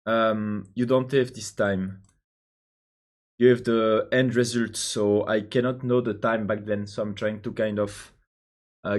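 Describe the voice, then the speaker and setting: A young man from Belgium with a French accent, thinking out loud while coding, hesitant with many pauses and restarts. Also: fairly low pitch. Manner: hesitant, many ums and restarts, answers chat questions. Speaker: programmer live-streaming his coding.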